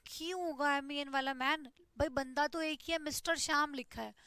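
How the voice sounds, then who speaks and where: Bright high-voiced woman teaching grammar. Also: steady tone, normal speed, no echo, studio quality. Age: 20s. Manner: explanatory, repeats "ठीक है" to check understanding. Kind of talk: online grammar class.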